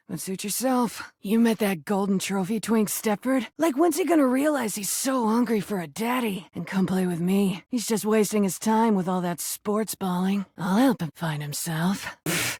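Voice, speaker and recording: A woman voicing a brash, crude, flirty rubber duck in a video game, with a husky voice and a sardonic, slangy, sometimes defensive delivery. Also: medium pitch, darkish tone, no echo, clean studio sound. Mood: bored, snide, then sarcastic, dismissive. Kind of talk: video game character acting.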